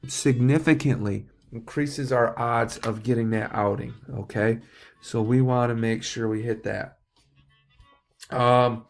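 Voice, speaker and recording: Gravelly-voiced man giving staff instructions. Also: mid-range voice. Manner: plain-spoken, instructive, checks understanding with tags. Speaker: golf course manager training new staff. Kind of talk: informal staff training talk.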